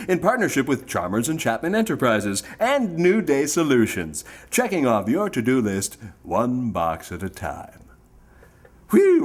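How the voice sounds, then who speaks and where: A man playing a folksy, chipper old-time radio pitchman in a theatrical salesman's style, reading sponsor ads on a stage variety show. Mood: chipper announcing.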